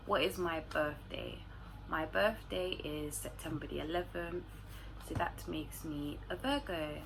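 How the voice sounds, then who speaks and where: A woman's voice: low-pitched, slow pace. A woman with a London accent chatting casually and informally to camera as a vlogger, using plenty of slang. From London, England. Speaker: vlogger answering viewer questions. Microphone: phone recording. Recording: clearly noisy room recording.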